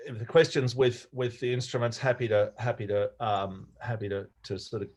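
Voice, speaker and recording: Older Australian man, casual coaching talk, halting delivery with frequent fillers. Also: mid-range voice, fairly even intonation, a little mellow. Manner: halting, many fillers and restarts. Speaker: sailing coach giving an online talk.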